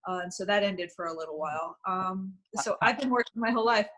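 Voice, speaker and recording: A woman's voice: mid-range voice, quick pace, a bit bright, rough recording. A woman guest in an interview, answering candidly and with self-deprecating humor, with frequent ums. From United States.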